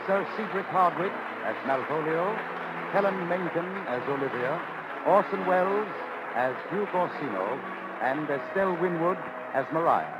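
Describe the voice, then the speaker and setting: High-pitched 1930s male radio announcer. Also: animated, moderate pace, heavy background noise. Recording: muffled 1930s radio, loud music.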